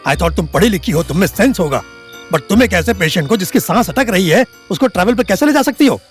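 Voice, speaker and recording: Audio drama role: Indian doctor, smooth and pushy, higher-set voice, persuasive. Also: male voice, lively intonation, fairly quick, faint music behind. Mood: indignant. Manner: persuasive, self-important, mixes Hindi with English. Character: smooth, pushy male doctor, outwardly kind.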